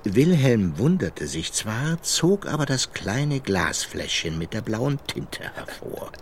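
A man narrating a children's fairy-tale radio play as storyteller, measured and vivid. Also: mid-range voice, sing-song, a little hiss or hum.

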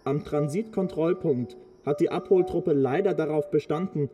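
A man's voice: a little high, normal speed, lightly acted. Casting: actor as grovelling male space checkpoint official, flowery and nervously polite, music underneath, children's sci-fi audio drama. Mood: deferential, flustered. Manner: servile, flowery, stammering politeness. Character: obsequious, flustered male space-ark transit inspector. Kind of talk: children's radio play acting.

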